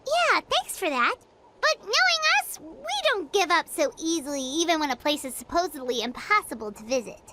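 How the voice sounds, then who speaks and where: A woman voicing a tiny, excitable childlike fairy companion in a video game, with a squeaky voice and bubbly, exclamatory manner. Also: normal speed, heavy background noise. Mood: chirpy, eager.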